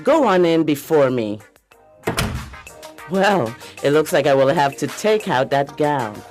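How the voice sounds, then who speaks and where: A woman's voice: sits low, expressive, slow pace, darkish tone, faint music behind. A woman playing a domineering, leering middle-aged female pageant coach in a radio drama, pushy and scolding. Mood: leering, coaxing.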